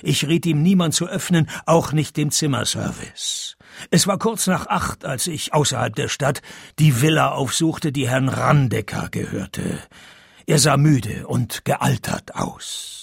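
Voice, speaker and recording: An actor playing a dry, laconic private detective, narrating and questioning tersely in a comic radio play. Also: male voice, medium pitch, a little slow, vocal fry, breathy edge, dry recording, full character voice. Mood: deadpan narrating. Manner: deadpan, terse.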